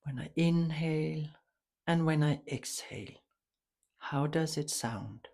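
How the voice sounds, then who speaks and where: A woman leading a guided meditation, giving soft instructions in a calm, soothing, unhurried way with long pauses. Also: low-pitched.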